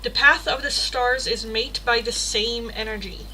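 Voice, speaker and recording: Bright-voiced Dutch woman streaming tarot. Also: fairly high pitch, measured pace, slight background noise, rough recording. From Netherlands. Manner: chatty, laughs often, swears casually, reads card text aloud. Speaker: tarot reader hosting a livestream. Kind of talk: casual livestream chat and card reading.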